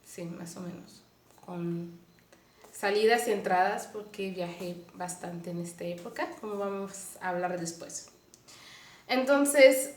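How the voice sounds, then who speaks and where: A Canadian woman tells her faith story in Spanish with a Mexican-tinged accent and a little Portuguese slipping in. She speaks earnestly and pauses often to find her words. Her voice carries a roomy echo. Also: on the high side. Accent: Mexican-tinged Spanish mixed with Portuguese. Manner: earnest, unhurried, frequent hesitations. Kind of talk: personal faith testimony.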